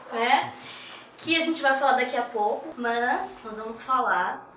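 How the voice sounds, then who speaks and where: Bright-voiced woman host in an echoey room. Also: high-pitched, distant mic, a little hiss or hum. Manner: chatty, filler-heavy, jokey. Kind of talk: casual interview hosting.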